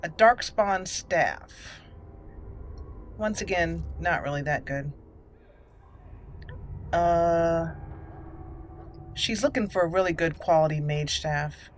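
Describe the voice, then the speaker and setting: A woman hosting a roleplay game playthrough, deliberately narrating her actions aloud. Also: lowish voice, a little hiss or hum. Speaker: gaming channel host. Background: faint game music.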